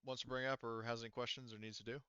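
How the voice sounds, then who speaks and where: A man casually explains tabletop game rules as game master. His voice is middle-pitched, bright and clean. The recording is very dry, with no room sound. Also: flat delivery, racing, clean tone, no room sound, dull audio.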